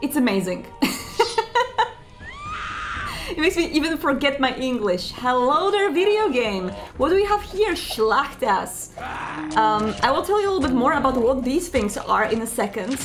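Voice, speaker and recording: A woman chatting playfully over a video game, joking and explaining the lore. Her voice sits a little higher than most. Faint game music plays behind her. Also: big pitch swings, normal speed. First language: Polish. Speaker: gamer. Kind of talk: let's play commentary.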